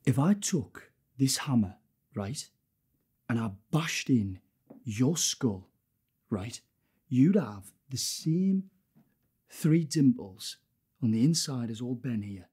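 Sadistic plantation-owner villain acted by a man, threatening, rough voice with big dynamic swings. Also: mid-range voice, lively intonation, slow pace, pauses often, warm tone, full character voice. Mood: coldly menacing. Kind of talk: film villain monologue.

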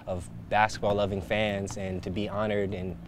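A young man, calmly answering interview questions as a basketball player. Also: lowish voice, normal speed, slight background noise. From United States. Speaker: national team player. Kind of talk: sit-down interview. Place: indoor arena seats.

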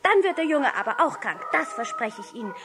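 A woman's voice: high-pitched, big pitch swings, a little fast, background noise. A woman playing a plucky, persuasive little girl in a 1960s German radio play, with an emphatic, lecturing manner. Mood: indignant, emphatic. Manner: emphatic, lecturing, rhetorical questions.

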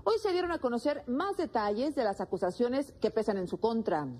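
A woman presenting the news from a TV studio in a brisk, formal way, on a lapel mic. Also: fairly high pitch, expressive, a little mellow. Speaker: news anchor.

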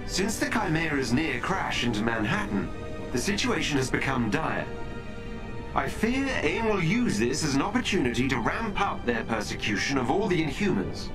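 An actor voicing a courteous AI butler in a video game, polite, formal and articulate. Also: male voice, fairly high pitch, sing-song, normal speed, music in the background. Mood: concerned. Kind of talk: video game cutscene acting.

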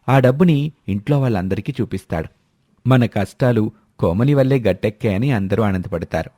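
A man's voice: fairly low pitch, mellow, dry recording, studio quality. A man reading scripted narration for a family moral story, in the manner of a storyteller.

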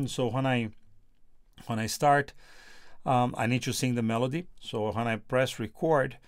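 A man whose first language is Portuguese, reviewing music software in a casual, halting way, often repeating words. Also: mid-range voice, no echo, clean studio sound. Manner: halting, repeats words, sings test notes loudly.

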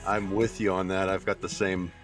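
Very low-voiced man hosting a podcast interview. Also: little pitch movement, normal speed, faint music behind. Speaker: podcast host. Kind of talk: conversational interview with long reflective questions.

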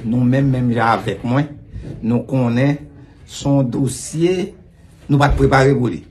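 A Haitian man in his fifties speaks as an official giving an interview over a video call. His voice is mid-pitched. He is deliberate and emphatic, repeating key points for weight. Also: slight background noise. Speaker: police official being interviewed. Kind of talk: interview answers mixing Haitian Creole and French.

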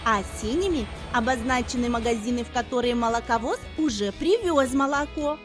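A woman's voice: fairly high pitch, brisk. A woman narrating a children's educational cartoon, explaining things simply in scripted storytelling. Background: background music.

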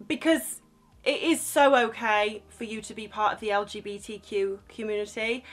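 A woman's voice: on the high side, a bit bright. A young woman talking straight to camera in a small room, giving frank, reassuring advice in a chatty vlog manner. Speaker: vlogger giving crew advice. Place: small indoor room, plain wall.

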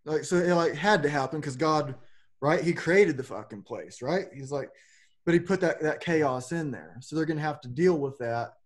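A man's voice: fairly high pitch, normal speed. A man in his forties talking loosely and rambling a little, with casual swearing, as a podcast host on a video call from home. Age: 40s. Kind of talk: casual philosophical conversation. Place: home room with a plain backdrop. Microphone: webcam on a video call.

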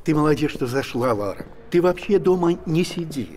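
Actor as fussy kindly old theatre guy, solicitous, bit high pitched. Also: animated, moderate pace, a little mellow, natural voice. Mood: caring, encouraging. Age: older. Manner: solicitous, familiar.

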